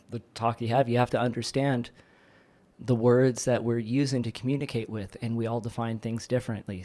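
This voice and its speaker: A man's voice: medium pitch, warm tone. A man talking as a podcast guest, earnest and searching for the right words. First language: English. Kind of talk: casual chat.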